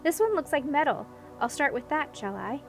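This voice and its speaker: A woman's voice: high voice, very animated, normal speed, soft background music. A woman voicing a well-meaning young prince in a storybook, earnest, polite and a little hesitant. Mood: eager.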